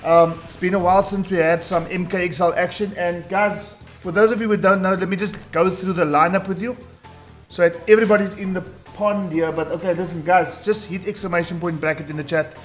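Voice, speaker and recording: A man with a booming voice hosting a live tournament stream, hyped and talking straight to his chat. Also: steady tone, fairly quick. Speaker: tournament host. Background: faint background music.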